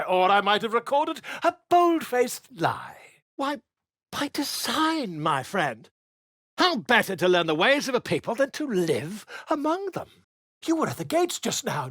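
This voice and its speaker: An actor playing a pompous, self-important male bard and author in a fantasy video game, speaking in florid, grandiose phrases with a husky voice. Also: extremely high pitch, fairly bright tone, dry recording, studio quality, heavily acted. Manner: florid, grandiose, wordy delivery. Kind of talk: theatrical fantasy game dialogue.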